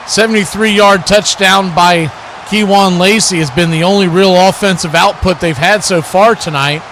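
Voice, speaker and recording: A man's voice: high-pitched, steady tone, moderate pace, a bit bright. An American man giving live football play-by-play commentary in clipped short phrases. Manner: clipped short phrases calling each action. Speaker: play-by-play announcer for an online watch party stream. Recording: clear background noise.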